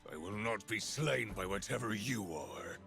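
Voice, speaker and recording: Gravelly, ruthless captain. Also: male voice, on the low side, lively intonation, normal speed. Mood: grim, brooding. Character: ruthless, vengeful, menacing male pirate captain. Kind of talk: theatrical video game voice acting. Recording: loud game music behind.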